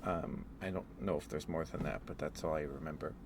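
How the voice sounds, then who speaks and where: A man streaming casual, rambling ASMR chat, telling stories in a halting, meandering way. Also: rumbling low, steady tone. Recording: clearly audible background noise.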